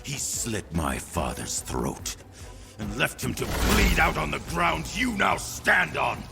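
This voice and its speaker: A man's voice: a little high, big pitch swings, slow, gravelly, music in the background, full character voice. An actor playing a vengeful, sardonic arms-dealer villain in a video game, taunting his enemy with theatrical deliberation. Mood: vengeful fury.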